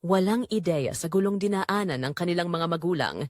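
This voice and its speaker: A woman narrating a romantic drama in a measured, sentimental storytelling manner. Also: lowish voice, animated, no echo, studio quality.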